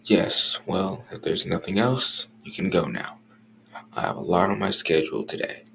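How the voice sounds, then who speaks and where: A man playing a stern, jealous, irritable office boss in an audio roleplay, curt and guarded, with a gravelly voice. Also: low voice, normal speed, a bit bright, a little hiss or hum, exaggerated voice. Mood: guarded, terse. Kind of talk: scripted audio roleplay acting.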